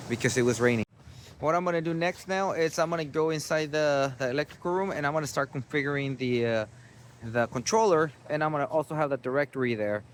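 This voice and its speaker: A man giving a casual, explanatory walkthrough of installation work, speaking informally and directly to viewers as an installer. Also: fairly high pitch. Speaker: installer narrating job site video. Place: work site, partly outdoors. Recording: noisy job-site ambience, vehicle noise.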